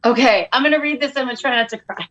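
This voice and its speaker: A woman's voice: a little high, racing, fairly bright tone, muffled recording, soft background music. A woman comedian chatting as a podcast guest, telling stories in a dramatic, self-mocking way. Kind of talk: animated comic storytelling and chat.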